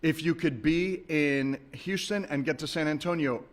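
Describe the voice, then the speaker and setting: Emphatic bilingual lawmaker at a podium. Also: male voice, a little high, normal speed. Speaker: state legislator, committee chairman. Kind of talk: press conference remarks. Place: press conference.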